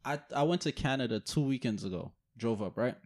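A young man with a New York accent, co-hosting a casual podcast with animated, slangy, profane storytelling among friends. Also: medium pitch, a little fast. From Guyana. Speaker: podcast co-host.

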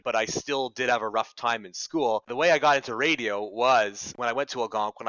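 A young Canadian man with a bright, forward voice tells stories casually in an interview. He is chatty and self-deprecating. The sound is close and dry but somewhat dull. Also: lowish voice, no room sound. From Ottawa, Canada. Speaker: radio reporter being interviewed. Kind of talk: casual conversational storytelling.

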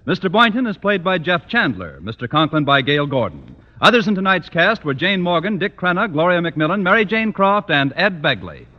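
A man's voice: sits high, very animated, a little fast, hardly any pauses, background noise. A man announcing a radio programme in 1940s style. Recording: dull old radio recording, loud music behind. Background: music.

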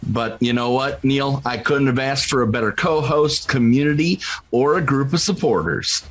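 A man's voice: medium pitch, normal speed, a bit bright. A man hosting a podcast, reading out a scripted show introduction. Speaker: podcast host.

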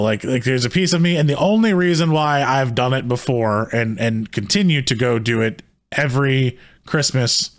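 A man co-hosting a casual podcast, his voice sitting at an ordinary middle pitch with a slight hoarseness. He talks in a heated, rambling way, often stopping and starting his sentences over. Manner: heated, rambling talk with many restarts. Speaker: podcast co-host.

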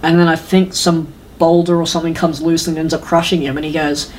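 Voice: medium pitch, little pitch movement, a little fast, slight background noise, low-quality recording. A podcast co-host in casual, rambling chat about games, often retelling things and acting out quotes. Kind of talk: casual chat about video game movies.

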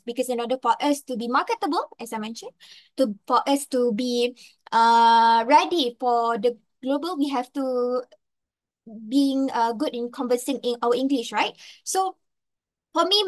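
High-voiced Malaysian woman, thoughtful. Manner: thoughtful, frequent fillers and tag questions. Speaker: podcast panelist. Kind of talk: opinion discussion.

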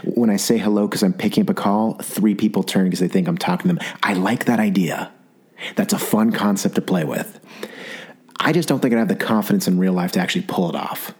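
A man's voice: fairly low pitch, fairly quick, slightly rough. A young adult man hosting a podcast with a scratchy, sore-throat voice, talking through a rambling comic monologue with lots of restarts. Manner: rambling, animated storytelling with repeated restarts. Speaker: podcast host. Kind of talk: comedic solo monologue.

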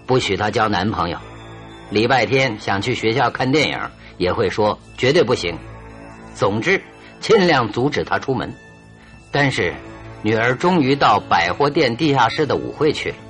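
An actor playing a shrewd, confident detective in a radio drama, speaking deliberately and with command. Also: male voice, mid-range voice, animated, a bit bright, natural voice. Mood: commanding, explaining. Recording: radio drama with music underneath.

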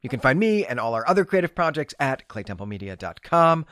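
Animated man hosting a book podcast. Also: medium pitch, fairly quick, no echo, clean studio sound. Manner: enthusiastic, emphatic, gushing. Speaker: podcast host and book reviewer. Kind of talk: solo podcast book review, conversational.

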